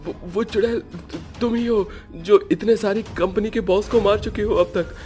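A man's voice: high-pitched, steady tone, unhurried, darkish tone, soft background music, pitched above the performer's own voice. A man playing a terrified victim in a horror cartoon, stammering in panic.

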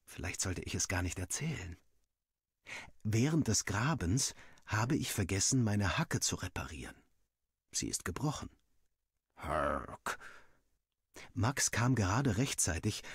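A man's voice: lowish voice, slightly rough, no echo, studio quality, exaggerated voice. A man playing a young student villager who narrates his diary in a wry, dramatic, self-mocking way, as a scripted game story. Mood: joking, embarrassed.

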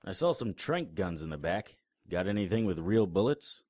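An actor playing a wisecracking, unflappable paranormal investigator in an audio drama, with a dry, sarcastic delivery. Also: male voice, sits low, big pitch swings, moderate pace, a little mellow, dry recording. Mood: wry, alert. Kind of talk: audio drama dialogue and first-person noir narration.

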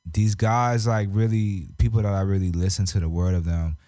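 A man's voice: sits low, fairly even intonation, moderate pace, dark tone, no echo. A young man from Japan, a rapper and artist, talking in a laid-back, slangy way as a guest in a radio studio. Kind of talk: casual interview chat. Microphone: studio mic on boom arm.

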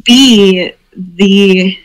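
A woman's voice: mid-range voice, extremely slow, low-quality recording. A woman playing in a tabletop roleplaying game, thinking aloud in a rambling way with many fillers. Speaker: player. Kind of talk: tabletop roleplay over voice chat.

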